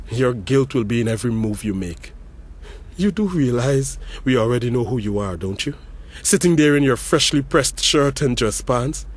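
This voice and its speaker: A man playing an accusing grieving brother. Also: a little high. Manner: intense, accusing, swings from hushed to shouting. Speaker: eulogy speaker at a funeral. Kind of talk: dramatic audio story monologue.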